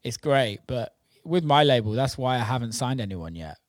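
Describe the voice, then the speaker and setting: A man's voice: medium pitch, animated, normal speed, dry recording. Enthusiastic man, dry close sound. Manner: enthusiastic, informal, sweary. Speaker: interviewer, DJ and label owner. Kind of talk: casual chat interview.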